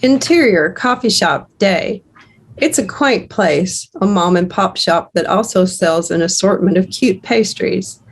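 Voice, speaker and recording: A woman's voice: mid-range voice, animated, unhurried, creaky, husky. A woman reading screenplay stage directions as scripted narration.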